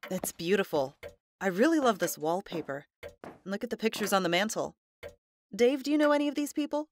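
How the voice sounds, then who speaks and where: A woman's voice: mid-range voice, animated, a little fast, a little hiss or hum, close to an everyday voice. A woman acting as a pleasant, curious wife in an audio drama. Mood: admiring, curious. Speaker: house buyer. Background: faint music.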